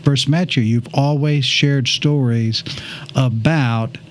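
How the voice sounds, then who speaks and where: A man's voice: mid-range voice, fairly even intonation, slow. A man, hosting a casual conversation reflectively and circling back over his phrases. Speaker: interview host.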